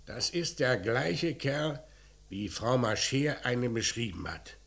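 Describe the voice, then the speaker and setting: A brusque, sardonic police commissioner in a vintage radio crime drama. His medium-pitched voice has a gravelly creak. He gives orders curtly and needles with stern, fatherly mockery. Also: animated, slow, fairly bright tone, full character voice. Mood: gruff, mocking. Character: gruff, sardonic male police commissioner grilling a youth. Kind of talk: mid-20th-century German radio crime drama acting.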